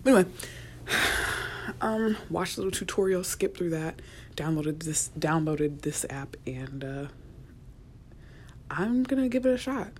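A young woman, a college student, rambling in a casual solo podcast, chatty and often dropping to a near whisper, recorded in a quiet university office. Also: sits low, expressive, unhurried, long pauses, a little hiss or hum. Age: young adult. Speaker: podcast host.

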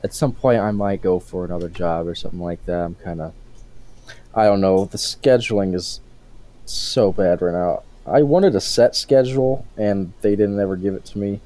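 A man's voice: deep voice, normal speed, warm tone. An eighteen-year-old man co-hosting a casual podcast, rambling and restarting his sentences as he talks. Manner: rambling, self-interrupting, with frequent restarts. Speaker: podcast co-host.

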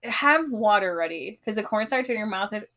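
A woman's voice: mid-range voice, lively intonation, fairly quick, bright, forceful tone. A young woman in casual podcast chat, rambling with lots of fillers and laughing often.

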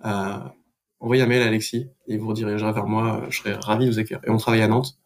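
Man chatting in an even voice. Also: on the low side, racing. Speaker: podcast guest, business manager. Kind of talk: casual explanatory chat.

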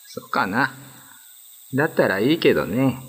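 A man's voice: medium pitch, very animated, moderate pace, fairly bright tone, husky. A man playing a kind, modest young male prison guard in a radio drama, with casual, boyish, reassuring speech. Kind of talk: Japanese radio drama acting.

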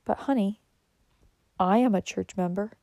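A woman voicing a kindly, devout old nurse in a storybook, speaking in a gentle, soothing way. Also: medium pitch, normal speed, dry recording, studio quality, close to an everyday voice. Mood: gently pleading.

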